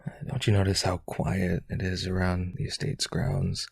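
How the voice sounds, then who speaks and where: Man playing a husky, lonely vampire aristocrat. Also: sits low, completely flat delivery, moderate pace, dry recording, clean studio sound, heavily acted. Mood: lonely, earnest. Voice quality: husky, creaky. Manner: hesitant, pleading, eager to please. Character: lonely rich male aristocrat vampire, eager, clingy yandere suitor. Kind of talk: roleplay audio drama.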